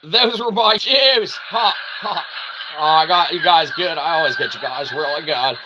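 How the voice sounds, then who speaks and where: A man's voice: fairly high pitch, measured pace, background noise. A man hosting a solo web show in a rambling comedic monologue, theatrical and goofy, with wordy mock-grandiose phrasing.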